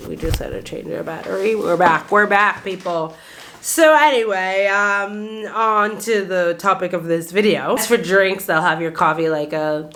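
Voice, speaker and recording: A woman in her thirties with a general American accent and a faint Boston lilt, rambling casually to camera with lots of "like"s. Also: deep voice. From Boston area, United States. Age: 30s. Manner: chatty, rambling, many "like"s, laughs often. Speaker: vlogger.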